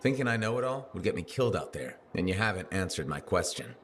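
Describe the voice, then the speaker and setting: An actor playing a confident young freelance pilot in a video game, casual and straight-talking with a dry wit. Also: male voice, deep voice, very animated, fast talker, slight background noise. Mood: direct. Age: young adult. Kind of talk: scripted video game dialogue.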